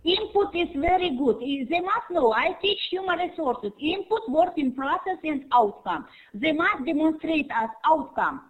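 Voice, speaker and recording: Older lady, german native speaker, high voice, rambly broken english, tinny call audio. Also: expressive. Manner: rambling, effusive, halting non-native English. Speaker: conference participant, human resources teacher. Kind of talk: online conference chat.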